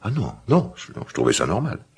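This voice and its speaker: A middle-aged actor playing a gruff but tender, old-fashioned father in a naturalistic radio drama, speaking in halting, musing phrases. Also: fairly low pitch, huge pitch swings, a little mellow, heavily acted. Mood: hesitant, reflective. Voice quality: gravelly, husky.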